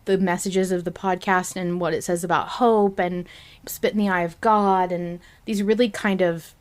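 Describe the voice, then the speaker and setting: A woman's voice: lowish voice, fairly even intonation, normal speed, a little mellow. Opinionated woman podcast host chatting. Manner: opinionated, emphatic, swears casually. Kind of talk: casual discussion chat.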